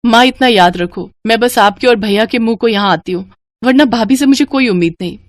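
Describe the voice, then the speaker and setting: A woman's voice: on the high side, a little fast, no room sound, studio quality, natural voice. A woman voicing a polite young married daughter in a cartoon. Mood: upset.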